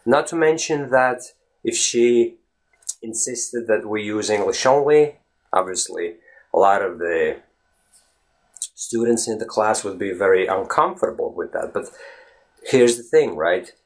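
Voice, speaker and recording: Lower-voiced man. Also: lively intonation, measured pace, long pauses. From Latvia. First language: Latvian. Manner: emphatic, talks to viewers directly, often asks "right?". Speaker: vlog host. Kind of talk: casual solo vlog talk.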